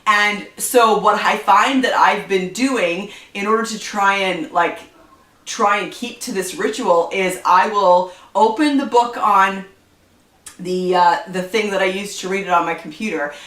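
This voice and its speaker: A woman's voice: mid-range voice, unhurried, a bit bright, reverberant, recorded from a distance. A woman chatting casually about her reading habits on her book channel, stuffed up from allergies and rambling with lots of fillers. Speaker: book vlogger. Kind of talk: casual chat to camera.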